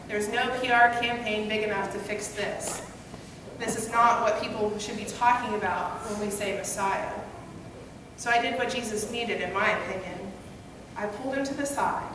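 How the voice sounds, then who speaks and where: Woman pastor, story sermon, slightly high voice, distant mic, big reverberant hall, background hiss. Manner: storytelling, earnest and conversational. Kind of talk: first-person dramatic monologue sermon as Peter. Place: church sanctuary, at a pulpit. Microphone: gooseneck pulpit mic, far camera. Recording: echoey hall, distant and noisy.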